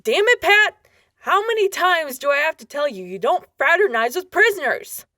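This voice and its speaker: A woman's voice: high voice, very animated, moderate pace, pushed and bright, dry recording, clean studio sound, heavily acted. A woman playing a tough, exasperated, sarcastic wasteland sheriff in a post-apocalyptic radio drama. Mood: angry. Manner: gruff, bossy, sarcastic.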